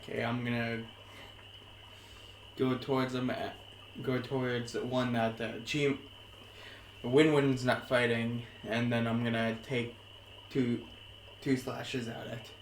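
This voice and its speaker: A man's voice: medium pitch, flat delivery, takes it slowly, pauses often. A man playing a tabletop game, hesitant and asking about the rules. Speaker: player. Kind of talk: casual tabletop play. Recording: echoey room, faint noise.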